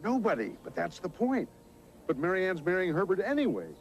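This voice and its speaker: An actor playing an exasperated, bossy but fond middle-aged skipper in a TV sitcom, scolding and coaxing. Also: male voice, sits high, a little fast, dull audio. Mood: urgent, coaxing. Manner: scolding, animated. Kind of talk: broad TV sitcom acting. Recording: noisy old TV soundtrack.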